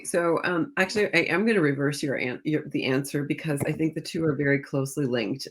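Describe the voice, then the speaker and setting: A middle-aged woman speaking as an interview guest, an author telling stories conversationally and acting out bits of reported dialogue. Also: sits low. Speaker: guest, historical fiction author.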